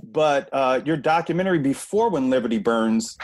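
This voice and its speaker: A man's voice: mid-range voice, animated, normal speed, darkish tone, no room sound. A man co-hosts a talk show and introduces a guest. His delivery is earnest and full of "you know" fillers, and his recording sounds dry and close. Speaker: co-host.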